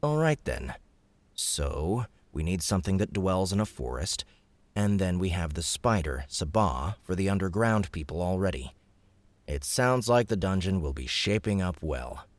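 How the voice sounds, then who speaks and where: A man's voice: deep voice, very animated, moderate pace, no echo. A man playing a firm, protective young dungeon boss in a fantasy audiobook. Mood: decisive. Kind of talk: audiobook character acting.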